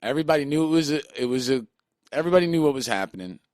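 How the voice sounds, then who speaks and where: A man's voice: on the high side, animated, rapid-fire, a little mellow, no echo. A man hosting a radio show, insistently explaining himself in casual studio banter. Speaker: radio host.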